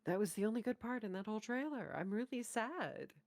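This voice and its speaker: A woman's voice: medium pitch, expressive, brisk, a little mellow. A woman co-hosting a casual reaction chat, giving her rankings in an informal way. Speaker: co-host ranking game trailers.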